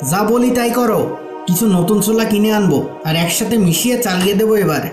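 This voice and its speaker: A man voicing a gruff, money-minded shopkeeper husband in a cartoon, bossy and dismissive. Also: high-pitched, brisk, lightly acted. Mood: dismissive, irritated. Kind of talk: cartoon moral story voice acting. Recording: roomy echo under light music.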